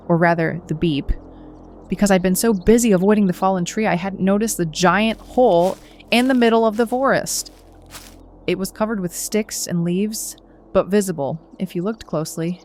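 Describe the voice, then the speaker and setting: A woman's voice: fairly low pitch, darkish tone, a little hiss or hum, close to an everyday voice. A woman playing a young, determined but anxious runner in a horror audio drama, earnest and suspenseful as she acts and narrates. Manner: earnest, suspenseful first-person storytelling.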